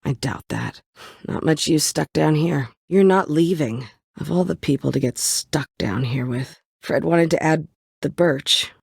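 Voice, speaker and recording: A woman's voice: low-pitched, unhurried, vocal fry, breathy edge, no echo, studio quality, exaggerated voice. An actress playing a bitter, world-weary woman survivor set on vengeance in a story video game, brooding, curt and dryly sardonic. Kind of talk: dramatic video game voice acting.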